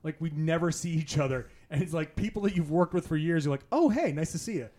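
A man's voice: fairly high pitch, expressive, quick pace, mellow. A man hosting a casual podcast in person, chatty and laughing often, with frequent restarts. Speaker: podcast host. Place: in-person recording in Las Vegas.

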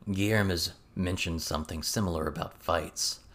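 Laconic protector, acted by a male narrator, low and quiet, calm, understated, audiobook character. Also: normal speed. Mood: thoughtful.

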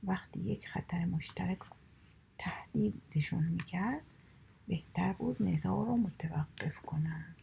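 A woman reading animal fables aloud in a soft, steady whisper, storytelling for relaxation. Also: fairly low pitch, vocal fry, slight background noise.

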